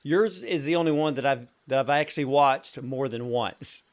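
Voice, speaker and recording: A man's voice: mid-range voice, normal speed. A man interviewing a filmmaker, earnest and enthusiastic as he asks his questions.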